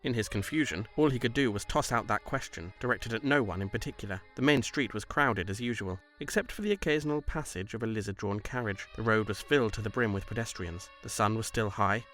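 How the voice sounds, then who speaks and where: A man reading scripted story narration in a measured, literary delivery. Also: on the low side, expressive, fairly bright tone, faint music behind.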